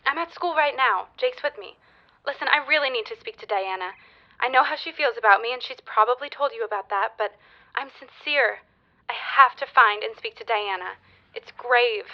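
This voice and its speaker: A woman's voice: on the high side, moderate pace, muffled recording, lightly acted. An actress playing an anxious, earnest schoolgirl who speaks formally and urgently in a period audio drama, heard as on a telephone.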